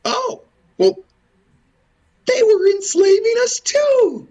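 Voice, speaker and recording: Male commentary host, high register, slow delivery, impassioned and sweary, given to repetitive rhetorical rants. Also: very animated, darkish tone. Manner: ranting, repetitive, rhetorical questions, cursing. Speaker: host of a religious and historical commentary show.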